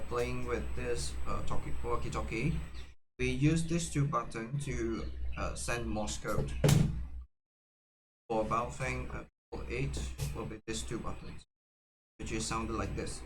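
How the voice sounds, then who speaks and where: A man giving a casual, unscripted how-to demonstration, speaking haltingly with frequent fillers and self-corrections. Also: mid-range voice, over music, low-quality recording. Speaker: tutorial presenter.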